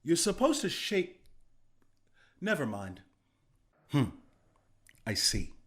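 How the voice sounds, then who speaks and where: An idealistic young rebel leader from a video game. His voice sits a little higher than most men's. He talks like a sincere, approachable comrade, expressive and conversational, with an earnest streak of self-doubt. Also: takes it slowly, full character voice. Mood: wary, negotiating. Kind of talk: video game character dialogue.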